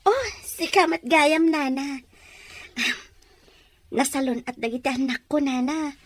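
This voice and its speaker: A woman playing a sweet, humble young mother-to-be, gentle and affectionate, in an Ilocano audio drama. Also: sits high, a little slow, dry recording. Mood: happy, tender.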